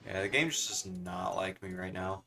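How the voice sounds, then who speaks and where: A young man streaming a game in a casual, chatty style. His voice is on the low side with plenty of fry and a bright, forceful edge, over faint music. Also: moderate pace. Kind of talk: casual livestream commentary.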